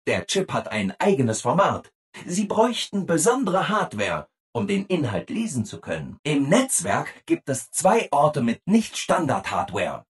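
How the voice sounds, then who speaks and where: Over-eager robot assistant, video game character, hugely swooping intonation, very husky rough-edged tone, relentlessly cheerful. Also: male voice, a little high, huge pitch swings, unhurried, exaggerated voice. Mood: helpfully explaining. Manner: chirpy, over-eager, exaggeratedly obliging.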